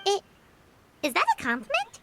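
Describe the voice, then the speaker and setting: A woman voicing a tiny, excitable girl fairy companion in a video game, squeaky and childlike, with dramatic, exclamatory delivery. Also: normal speed, background noise, heavily acted. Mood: exasperated.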